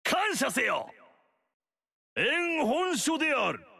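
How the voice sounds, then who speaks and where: A haughty, self-praising demon-clan noble in a video game. His voice is bright and high for a man, with a gravelly edge. The recording is dry and close. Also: huge pitch swings, takes it slowly, dry recording, heavily acted. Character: pompous arrogant male demon-clan noble warlord. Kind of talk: video game battle lines.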